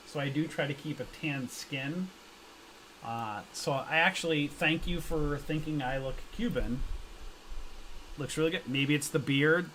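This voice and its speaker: A man hosts a live trading stream, chatting loosely with viewers and tossing in jokes and slang as he reads their comments. His voice sits a little high for a man. A faint hum runs under the recording. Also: fairly bright tone, rough recording. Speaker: stock trading livestream host. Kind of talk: casual livestream chat reading comments.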